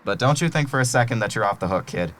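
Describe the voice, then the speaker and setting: A man's voice: mid-range voice, lively intonation, fast talker, a bit bright, slight background noise, natural voice. A man playing a menacing gang leader in an audio drama, taunting with falsely courteous, folksy threats. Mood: cold, mocking.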